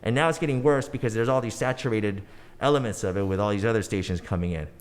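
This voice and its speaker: A man's voice: on the low side, quick pace, smooth. A man in his forties, a native English speaker, talking casually as a podcast guest with many restarts and fillers. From United States. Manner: rambling, frequent restarts and fillers. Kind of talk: casual conversational interview.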